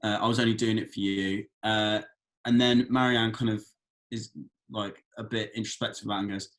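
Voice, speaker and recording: A man's voice: fairly low pitch, flat delivery, fairly quick, fairly bright tone. A man casually brainstorming at a table read, hesitant and loose in his phrasing as he suggests lines.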